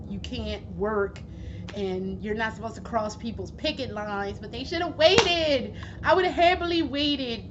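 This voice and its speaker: A woman vlogging at home, hoarse from losing her voice, rambling casually with plenty of swearing and fillers. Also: on the high side. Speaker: gaming vlogger. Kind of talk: casual chat. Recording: dull lo-fi recording with steady background noise.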